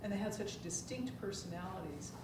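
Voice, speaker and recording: A woman talks informally to an audience as one of a panel of authors. Her pitch is middling. The sound is heavily reverberant and distant, with clear background noise. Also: lively intonation, a little fast. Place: public room at a library event. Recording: echoey noisy room, far from mic.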